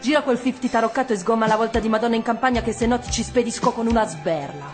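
An actress playing a feisty, sharp-tongued teenage girl in radio theatre, bossy and fearless. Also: fairly high pitch, fast talker, faint music behind, lightly acted. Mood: defiant. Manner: bossy, brash, defiant.

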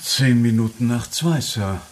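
An actor in a German radio drama playing a formal, obedient manservant with a clipped, deferential manner. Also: male voice, medium pitch, measured pace, echoey room, natural voice. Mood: dutiful.

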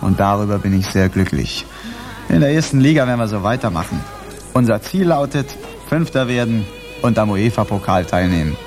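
An actor playing a confident, ambitious football show director giving an interview in a radio drama. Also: male voice, fairly low pitch, lively intonation, a little fast, over music. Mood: confident, satisfied. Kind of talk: scripted German radio drama, interview answer.

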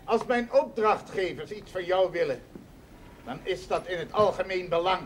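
An actor playing an unyielding land-buying official in a television drama, firm and businesslike. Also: male voice, high voice, normal speed, lightly acted. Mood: firm, dismissive. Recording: slightly noisy 1970s television soundtrack.

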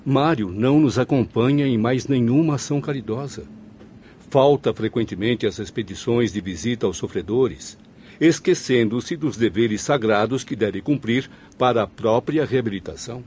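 Actor as concerned, moralizing Brazilian spirit companion. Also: male voice, medium pitch, normal speed, darkish tone, slight background noise, lightly acted. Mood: stern concern. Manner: formal, lamenting. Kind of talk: radio drama acting.

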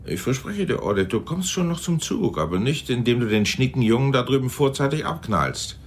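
An actor in a German radio drama playing a shrewd, commanding intelligence chief who speaks in a measured, dryly ironic way. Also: male voice, mid-range voice, lively intonation, slight background noise, close to an everyday voice.

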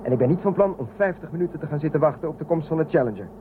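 An actor playing an eager, impatient shuttle pilot, bossy but teasing, in a science fiction radio drama. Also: male voice, fairly high pitch, animated, very fast, natural voice. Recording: thin phone-like band, clearly noisy.

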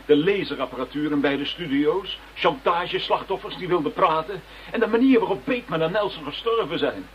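A man acting out an earnest detective in a radio play who argues his case firmly. His voice sits somewhat high. The recording is dull and lo-fi, with faint ambience. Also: lively intonation, brisk, slight background noise, close to an everyday voice. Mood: insistent. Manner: firm, insistent. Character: earnest, insistent male detective.

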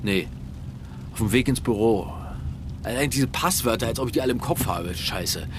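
An actor playing a vain, grumbling middle-aged politician, abrupt and bossy, in naturalistic radio drama dialogue. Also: male voice, mid-range voice, animated, moderate pace, lightly acted. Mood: bossy, annoyed. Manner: grumbling, self-important, abrupt. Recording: loud vehicle noise behind dialogue.

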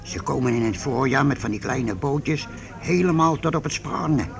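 An actor in a Dutch radio play voicing a timid, folksy medieval market man with a gravelly voice. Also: mid-range voice, normal speed, crowd noise, natural voice. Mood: hesitant, grumbling.

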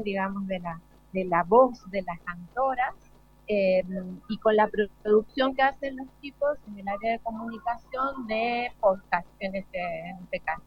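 A woman's voice: mid-range voice, a little hiss or hum. An Argentine woman speaking as an academic guest in an unscripted interview. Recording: slightly muffled live radio sound.

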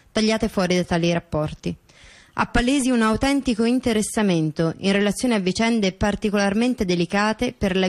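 A woman performs a staged reading of formal legal and historical text. Her recitation is measured and deliberate. Her voice has an average pitch for a woman. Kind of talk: staged reading of a court ruling.

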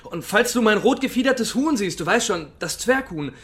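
A man's voice: sits high, expressive, moderate pace, a bit bright. A man playing an earnest, stubborn young brother with a passion for farming in a German radio drama.

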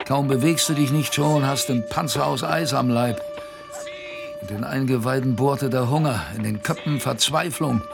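An actor playing a young sailor who tells of his polar ordeal, wry, confiding and colloquial, with a rough voice. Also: mid-range voice, moderate pace, music in the background, lightly acted. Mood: grim. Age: young adult. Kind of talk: first-person radio play narration.

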